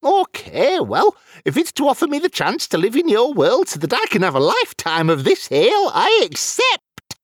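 Tipsy fantasy wizard played by a man: husky, very animated pitch, cheeky and flippant, audiobook style. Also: moderate pace, no room sound, heavily acted. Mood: cheeky, bargaining. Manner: cheeky, wry, colloquial. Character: tipsy, flippant male wizard, fond of ale.

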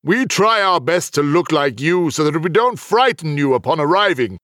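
Polite otherworldly host played by a man: higher male register, animated intonation, genial and measured, fantasy audiobook. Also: no echo, clean studio sound. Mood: apologetic, businesslike. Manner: courteous, measured, gently apologetic.

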